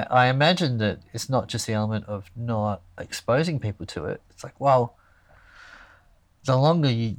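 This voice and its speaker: A man's voice: mid-range voice, no echo. A man hosting a casual chat, rambling and thinking aloud with many restarts.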